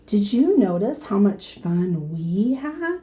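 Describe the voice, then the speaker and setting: A woman voicing a wise, patient, kindly giant panda in a storybook, with a calm and gentle manner. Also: mid-range voice, takes it slowly. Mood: calm, reassuring.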